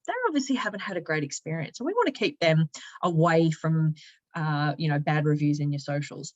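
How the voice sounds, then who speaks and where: A woman narrating an informal software walkthrough, explaining each step conversationally as a product demonstrator. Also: deep voice, dull audio. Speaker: product demonstrator, company sales and marketing director.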